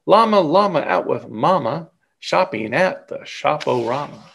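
A middle-aged man reading a children's story aloud in an expressive, deliberate way. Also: on the high side, vocal fry, slight background noise. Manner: animated, deliberate. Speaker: picture book reader.

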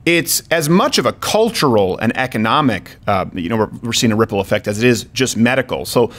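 A man in his thirties hosting a studio talk show, joking and quick as he interviews a guest. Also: mid-range voice, expressive, fairly bright tone. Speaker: show host. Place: studio with brick wall and neon sign. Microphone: studio mic on boom, headphones.

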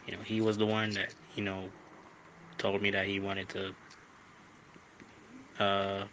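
A man playing a shocked, hesitant bar owner in an audio drama, halting and trailing off as he talks. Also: fairly low pitch. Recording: clearly noisy background ambience.

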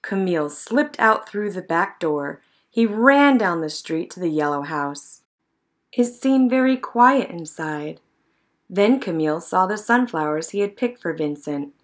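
A woman narrating a children's picture book in an expressive, measured storytelling manner. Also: on the low side. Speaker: storybook reader.

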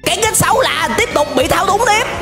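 A young man giving excited, exclamatory reaction commentary as a video host, dragging out words for drama, close to the microphone. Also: very high voice, a little fast. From Vietnam. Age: young adult. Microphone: close desk microphone. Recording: music behind the voice.

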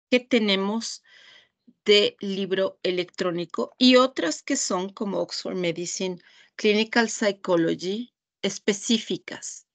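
Mexican woman, webinar trainer, very unhurried, methodical screen-share walkthrough. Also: medium pitch, vocal fry, a bit bright. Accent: Mexican Spanish. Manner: slow, deliberate, pausing often. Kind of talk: screen-share product demonstration. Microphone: video call.

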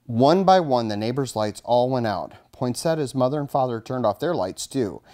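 A man's voice: mid-range voice, expressive, normal speed, darkish tone. A middle-aged man with an American accent, a fire chief reading a children's storybook aloud and talking to kids. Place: indoors in front of a curtain backdrop, studio-like setup.

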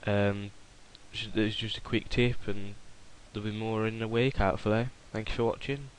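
Low-voiced young man explaining software. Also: flat delivery, moderate pace, a little hiss or hum, rough recording. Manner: rambling, informal, with many fillers and restarts. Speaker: software tutorial host. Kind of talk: casual screen-recorded tutorial.

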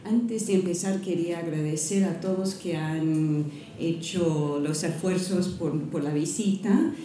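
A middle-aged woman, not a native speaker, reads an academic lecture from a podium, pausing and restarting often at an unhurried pace. Her voice sits low and mellow. The sound rings through a roomy hall, picked up from some distance with a faint murmur behind. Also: a little hiss or hum. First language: not Spanish. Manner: careful, halting, with frequent restarts and fillers. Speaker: guest lecturer. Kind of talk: academic lecture, partly read aloud. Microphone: podium microphone. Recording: echoey hall, mic from a distance.

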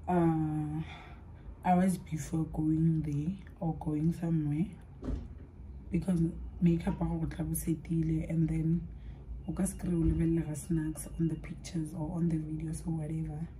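A young South African woman with a low, mellow voice rambles casually to her viewers while doing her makeup, mixing languages as she talks. A faint hum of room ambience sits behind her. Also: level tone, moderate pace, poor audio. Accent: South African, mixing in Sepedi. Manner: chatty and rambling, switching languages mid-sentence, trailing off into soft asides. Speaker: vlogger.

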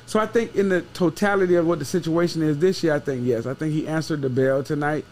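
A man with a slightly high voice gives casual sports analysis into a podcast mic. He talks emphatically, circles back to repeat his points and swears freely. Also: steady tone, fast talker, darkish tone. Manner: emphatic, repeats himself, profane. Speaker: sports show co-host and analyst. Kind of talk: casual football postgame chat. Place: small wood-paneled studio room. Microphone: podcast microphone with headset.

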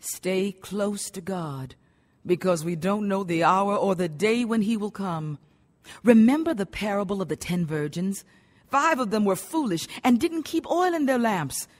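An authoritative woman who teaches the Bible with solemn devotion. Her medium-pitched voice is instructive, in radio drama style, and carries a long reverb.

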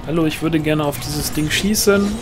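A man's voice: on the high side, normal speed. A man casually chatting while playing a co-op online game, dryly joking and grumbling at the game. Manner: dry, tells jokes, grumbles at the game. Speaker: let's play gamer. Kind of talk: casual co-op gaming chat. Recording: game music behind the voice.

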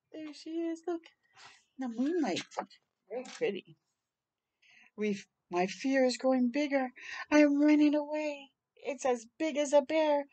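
Slow, high-voiced older woman reading aloud. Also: dark tone. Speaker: children's storytime host. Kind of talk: read-aloud of a rhyming picture book with brief asides. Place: outdoors on a porch.

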